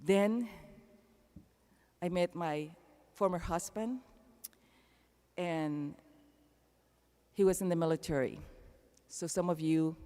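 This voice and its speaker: A woman's voice: lowish voice, slow, long pauses, dark tone, clean tone. Panamanian-accented woman speaking in an echoing arena. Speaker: commencement speaker. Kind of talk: personal commencement speech. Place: large indoor arena, amplified speech. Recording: long echo of a large arena.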